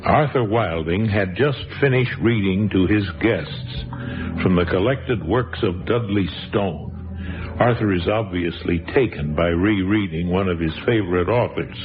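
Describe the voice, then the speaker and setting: A male host introduces a radio horror program with a slow, theatrical, suave delivery. His voice sits a little low. Music plays under a muffled, dated recording. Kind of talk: scripted show introduction.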